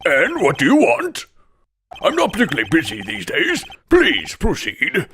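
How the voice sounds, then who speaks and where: A man's voice: a little high, huge pitch swings, moderate pace, a bit bright, a little hiss or hum. A man voicing a pompous, blustering older male lawyer in a video game fan dub, theatrical, evasive and old-fashioned in his phrasing. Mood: pompous, imperious.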